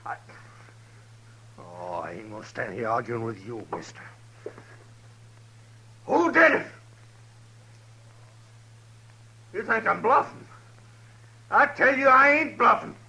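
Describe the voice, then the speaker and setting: An actor playing a vengeful, menacing outlaw gang leader in a 1950s radio Western. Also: male voice, high voice, unhurried, pauses often, sharp tone, slight background noise, full character voice, poor audio. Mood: angry, threatening. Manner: threatening, rural Western drawl talk. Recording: old 1950s radio recording.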